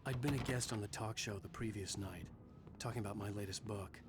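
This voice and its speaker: A man voicing a troubled, weary horror writer, narrating his own story in a brooding, measured way for a video game. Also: fairly low pitch, noisy background, lightly acted. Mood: weary, dry. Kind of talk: scripted first-person game narration.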